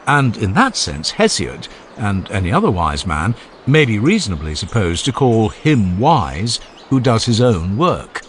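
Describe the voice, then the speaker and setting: A proud older Athenian man, as a male narrator plays him argues his case in a low voice. He is formal, insistent and easily irritated, with emphatic rises and falls, in a dramatized audiobook with faint noise behind. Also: very animated, a little slow. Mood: argumentative, insistent. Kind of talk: dramatized philosophical dialogue audiobook.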